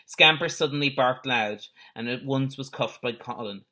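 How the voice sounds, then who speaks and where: A man's voice: mid-range voice, normal speed. A man reading a children's adventure story aloud like a teacher, expressively, with the odd explanatory aside. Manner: animated character voices with explanatory asides.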